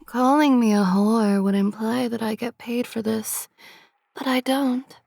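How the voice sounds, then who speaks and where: A woman playing a shameless, seductive vampire socialite, sly and amused, in a dark comedy audio drama. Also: on the high side, steady tone, measured pace, vocal fry, no echo, studio quality.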